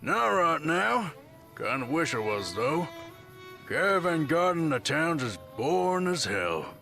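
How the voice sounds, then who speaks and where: A man playing a blunt, bored biker raider turned bodyguard in a video game, curt and deadpan. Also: fairly high pitch, measured pace, vocal fry, faint music behind, exaggerated voice. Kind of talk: video game dialogue acting.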